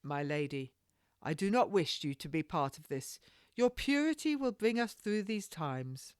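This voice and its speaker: A woman's voice: low-pitched, lively intonation, a little slow, a little mellow, clean tone, dry recording, studio quality. A woman voicing a cocky, evasive male captain from a period storybook, with flowery formal speech. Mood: earnest, secretive.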